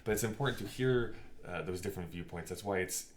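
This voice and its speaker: A man's voice: deep voice, fast. A man in a casual conversational discussion, speaking in an emphatic, opinionated and blunt way as a podcast co-host. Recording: clearly noisy background ambience.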